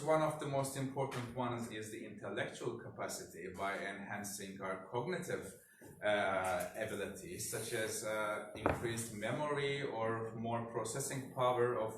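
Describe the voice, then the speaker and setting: A man with a non-native English accent giving a conference presentation as a researcher, in a halting manner. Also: on the low side. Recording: echoey room, distant mic, noisy.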